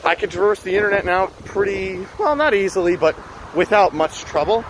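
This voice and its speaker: A man's voice: sits high, fairly quick, poor audio. A young man chatting casually while walking city streets and vlogging, rambling and swearing now and then. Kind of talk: casual walking vlog talk. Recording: street noise behind.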